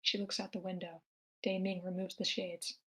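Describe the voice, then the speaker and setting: A woman's voice: on the low side, little pitch movement, moderate pace, a bit bright, slightly rough. A woman narrator reading screenplay stage directions aloud.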